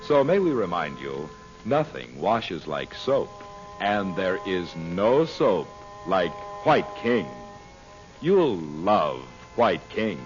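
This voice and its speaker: A man announcing in vintage radio style, reading a scripted pitch in an emphatic, salesmanlike way. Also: big pitch swings, slow pace. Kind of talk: 1940s scripted radio ad and sign-off. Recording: muffled old radio broadcast recording. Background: music.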